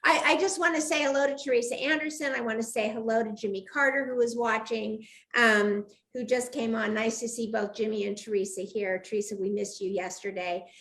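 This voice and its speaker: Bright, high-pitched older woman hosting a livestream. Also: fairly even intonation, brisk, hardly any pauses. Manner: effusive, welcoming. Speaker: live show host. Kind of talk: chatty livestream interview. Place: video call livestream.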